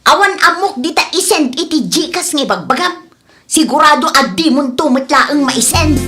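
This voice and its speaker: A woman's voice: high voice, animated, normal speed, music in the background. A woman playing a scorned young woman in an Ilocano comedy drama, exclamatory and sarcastic in manner. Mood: indignant, scornful.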